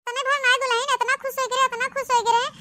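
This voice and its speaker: A woman's voice: extremely high pitch, flat delivery, fast, pushed and bright, no room sound. A woman voicing a stern but forgiving old mother-in-law in an Awadhi comedy cartoon, commanding and scolding, with an Awadhi accent. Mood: explaining.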